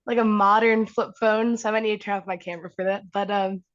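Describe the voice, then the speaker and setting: A woman's voice: mid-range voice, steady tone, fast. Chatty woman on a dull lo-fi line. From Illinois. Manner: chatty, lots of fillers. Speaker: participant.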